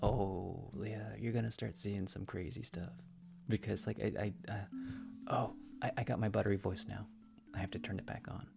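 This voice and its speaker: A man's voice: lowish voice, fairly even intonation, normal speed. A man livestreaming casually from a room in a shared house, rambling with frequent restarts and fillers. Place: room in a shared house with thin, echoey walls. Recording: clear music playing underneath.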